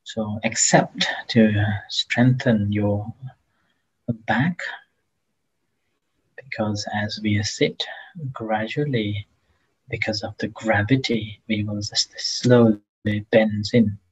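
A man leading a guided meditation as a teacher, speaking gently and haltingly with long pauses between phrases, in a husky, breathy voice. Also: lowish voice, fairly even intonation, low-quality recording.

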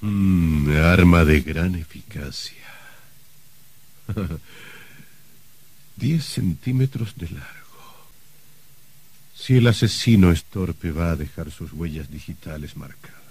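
A man's voice: low voice, animated, slow pace, pauses often, darkish tone, slightly rough, no echo, full character voice. An actor playing a stern, anxious older wealthy man in a radio drama, hesitant and given to muttering. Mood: musing.

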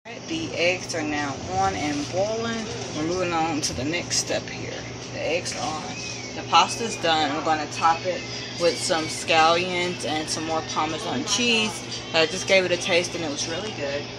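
A woman's voice: low-pitched, moderate pace, loud music behind the voice, poor audio. A woman chatting casually through a home cooking video, walking through each step with frequent ums. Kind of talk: casual cook-along narration. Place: home kitchen at the stove.

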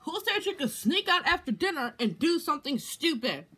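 A woman voicing a bossy, exasperated female caretaker in an amateur toy skit, scolding with drawn-out, emphatic commands. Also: high-pitched, very animated, pushed and bright, exaggerated voice. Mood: stern, scolding. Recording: noisy homemade recording.

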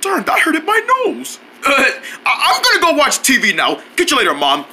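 A man voicing a clumsy, naive, excitable cartoon fellow who stammers and exclaims, in an amateur cartoon style. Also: very high-pitched, moderate pace, background noise, heavily acted. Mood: nervous, secretive.

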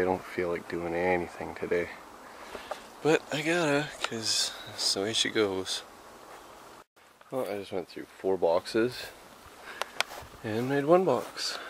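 A young Canadian man with a low voice talks casually to his vlog audience. His delivery is laid-back and slangy, rambling along loosely. The sound comes from a handheld camera mic with clear background noise. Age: 20s. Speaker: vlogger. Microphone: camera's built-in mic, handheld or at arm's length. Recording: noisy handheld camera audio, vehicle and ambient noise.

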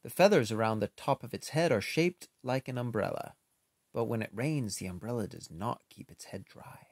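A man reading a children's picture book aloud in an expressive, unhurried way, with casual, playful asides. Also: medium pitch, no room sound.

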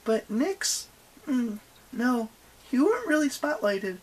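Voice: mid-range voice, big pitch swings, takes it slowly, breathy edge, pitched above the performer's own voice, full character voice, poor audio. A narrator voicing a cheeky teenage demigod girl who bluffs in a breezy, casual, falsely innocent way in an audiobook story. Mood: breezy bluffing.

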